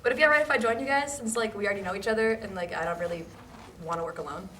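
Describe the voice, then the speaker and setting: A woman's voice: mid-range voice, racing, a bit bright, close to an everyday voice. A woman playing a brazen, passive-aggressive transfer student in a table read of a drama script. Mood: breezy, pointed. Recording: faint noise, amateur room recording.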